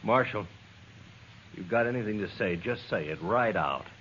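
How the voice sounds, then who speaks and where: Radio western role: bitter jailed outlaw. Low, guarded, defiant, halting. Dull vintage sound with faint noise. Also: male voice, expressive, a bit bright. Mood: guarded, sullen. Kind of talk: 1950s radio western acting. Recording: dull, noisy old radio recording.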